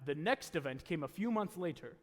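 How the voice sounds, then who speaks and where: A man plays a calm heavenly superintendent in a radio drama. He sounds measured, senior and patient, and his voice carries through an echoey hall. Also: on the high side, close to an everyday voice. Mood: calm, authoritative. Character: calm authoritative male superintendent of angels. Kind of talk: radio play acting. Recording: echoey live hall taping.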